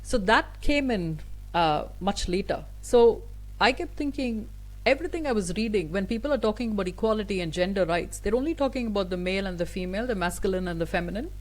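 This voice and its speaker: A woman of middle pitch whose first language is Tamil speaks as an interview guest. She talks earnestly and thoughtfully, often pausing on fillers and restarting her sentences. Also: lively intonation, poor audio. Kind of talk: conversational interview answer.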